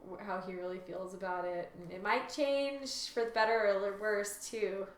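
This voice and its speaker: A woman's voice: medium pitch, steady tone, normal speed, echoey room, a little hiss or hum, rough recording. A woman co-hosting a casual podcast, talking informally in tentative, loosely phrased thoughts. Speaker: podcast co-host. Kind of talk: casual chat.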